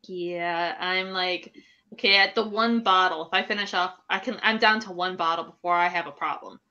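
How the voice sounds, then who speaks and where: A woman in her late thirties, co-hosting a casual chat podcast over a video call, candid and quick to laugh. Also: fairly low pitch, steady tone, bright, forceful tone. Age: late 30s. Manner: candid, laughing, swears freely. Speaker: podcast co-host. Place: home room at night. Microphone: video call webcam. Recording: dull lo-fi webcam audio.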